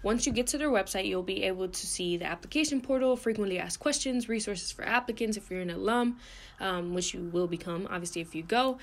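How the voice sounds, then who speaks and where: A woman's voice: medium pitch, brisk. Young woman presenting scholarship slides. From Puerto Rico. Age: young adult. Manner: earnest, explanatory, frequent "um" and "uh" fillers. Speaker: student presenter.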